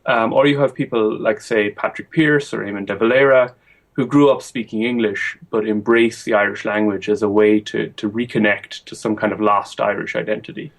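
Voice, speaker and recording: Measured low-voiced man explaining history. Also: steady tone. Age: young adult. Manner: measured, thinking aloud with frequent ums. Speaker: guest historian. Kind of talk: academic interview answers.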